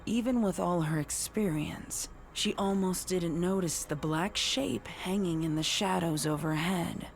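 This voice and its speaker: A woman reading dark horror fiction as scripted narration. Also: fairly low pitch, measured pace, vocal fry, few pauses, slight background noise.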